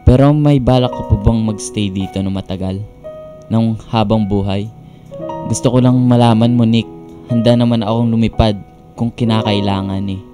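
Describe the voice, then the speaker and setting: A man's voice: fairly low pitch, fairly even intonation, normal speed, lightly acted. A man playing a devoted, corny young boyfriend in a Tagalog radio drama. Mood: earnest devotion. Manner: earnest, sweet-talking. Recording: clear guitar music underneath.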